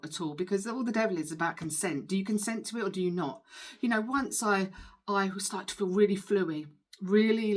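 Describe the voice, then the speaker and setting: Woman, slightly low pitch, informal tarot reader, emphatic, earnest, reassuring. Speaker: spiritual healer and tarot reader. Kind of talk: informal tarot card reading to viewers.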